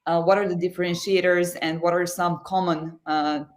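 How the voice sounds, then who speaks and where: A man's voice: sits high, little pitch movement, normal speed. A man with a Serbian accent hosting a live webinar on a video call, speaking hesitantly with many fillers. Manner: hesitant, lots of uh and obviously. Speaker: webinar host. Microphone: video call mic.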